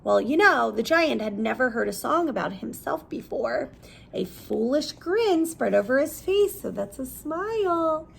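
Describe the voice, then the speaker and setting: A woman reading a picture book aloud like a teacher, pausing to explain words simply and ask young listeners questions. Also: high-pitched, expressive, gravelly, a little hiss or hum. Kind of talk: animated read-aloud with questions and word explanations.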